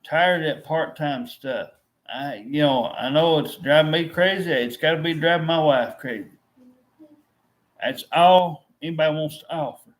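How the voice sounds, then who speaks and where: A man's voice: a little high, normal speed. A middle-aged man venting to his viewers, frustrated and repetitive, often restarting his sentences. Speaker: vlogger. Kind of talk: rambling to-camera vent.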